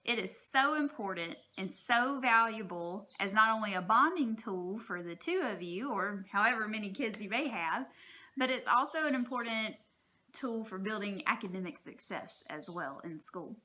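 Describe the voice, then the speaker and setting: A woman's voice: medium pitch, lively intonation, moderate pace, pushed and bright. A woman speaking as a teacher, giving informal, encouraging and reassuring advice to parents in a plain-spoken, folksy way.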